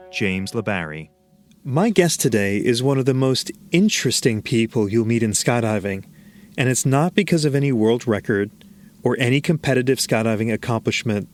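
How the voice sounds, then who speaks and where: Guy hosting a podcast, even earnest read, faint music behind. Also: medium pitch. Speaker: podcast host. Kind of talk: scripted intro then interview.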